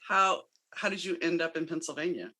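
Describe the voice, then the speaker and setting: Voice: medium pitch, a little fast, sharp tone. A podcast host introducing a guest and asking questions in a friendly, conversational way. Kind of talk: casual interview.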